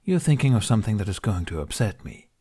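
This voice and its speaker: A man's voice: on the low side, very animated, fast talker, mellow, slightly rough. A man voicing a proud, protective, dryly sarcastic dragon in an audiobook, speaking in blunt, formal grumbles. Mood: deadpan irritation. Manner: grumbling, declarative, formal phrasing.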